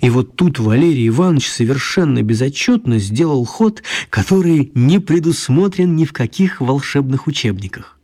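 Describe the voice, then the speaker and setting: A man narrating a radio play for children in a wry, storytelling manner, with a husky voice. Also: medium pitch, lively intonation, normal speed, hardly any pauses, dark tone.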